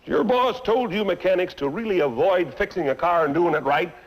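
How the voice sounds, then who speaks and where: A man's voice: high voice, lively intonation, fairly quick, rough recording. An actor playing an angry male car owner in a vintage training film, blunt and sarcastic as he complains about bad service. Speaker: dissatisfied customer. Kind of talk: 1950s training film acting.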